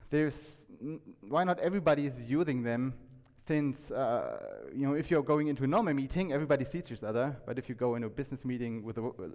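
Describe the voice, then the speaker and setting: A man giving an informal talk to an audience, conversational and halting, often restarting his sentences and checking in with questions. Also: medium pitch, a little mellow. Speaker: conference speaker. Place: indoor talk venue, reverberant room. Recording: echoey hall with long reverb.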